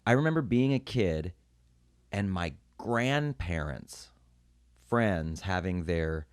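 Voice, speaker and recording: A man's voice: deep voice, lively intonation, slow pace, smooth, dry recording, studio quality. A man in his late thirties chatting casually as a podcast host, in a joking, digressive and sweary way. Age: late 30s.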